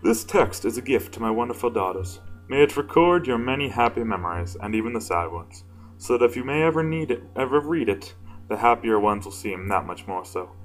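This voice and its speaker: A man with a deep voice reading fan fiction casually, informal and self-deprecating, stumbling now and then. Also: animated, fairly quick, faint music behind, rough recording. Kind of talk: casual amateur story reading with asides.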